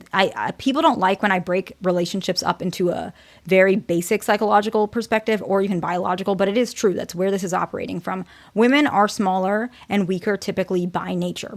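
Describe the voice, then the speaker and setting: A young woman with a naturally quiet, soft voice talks straight to her viewers as the host of her own channel. She speaks candidly, hedging her points and restarting phrases as she works through her advice. Her pitch sits in the ordinary middle range. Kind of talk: casual advice talk to camera.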